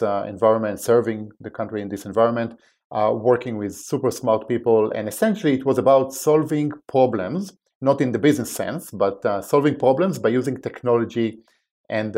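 A man with an Israeli accent, a company president guesting on a podcast, talking in a measured way with many pauses and fillers. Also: medium pitch, darkish tone. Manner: measured, filler-heavy, wry. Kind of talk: conversational interview answers.

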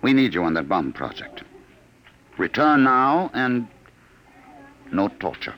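An actor playing a coldly patient, threatening agent from the future in a classic radio drama, condescending and smooth-talking. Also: male voice, mid-range voice, big pitch swings, takes it slowly, muffled recording. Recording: old radio broadcast recording.

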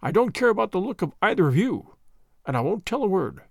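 A man voicing a frail, stubborn old sailor who speaks in rough old-timer dialect. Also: high voice, sing-song, brisk, no echo, clean studio sound, exaggerated voice. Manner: stubborn, grumbling. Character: frail, petulant old male sailor in disguise.

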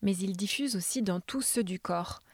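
Woman reading a clear health explainer. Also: medium pitch, normal speed, no echo, clean studio sound. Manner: clear, informative, addresses listener directly. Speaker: podcast narrator.

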